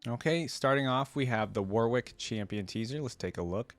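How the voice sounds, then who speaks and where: A man casually commenting as a reaction host and excitable fan, sometimes reading game lore aloud. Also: mid-range voice, normal speed, dry recording.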